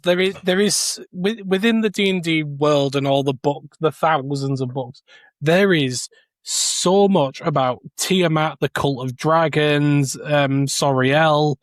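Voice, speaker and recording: A man with a slightly high voice co-hosts a podcast. He chats informally as an enthusiastic fan, recorded dry and close. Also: no echo. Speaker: podcast co-host. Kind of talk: casual review chat.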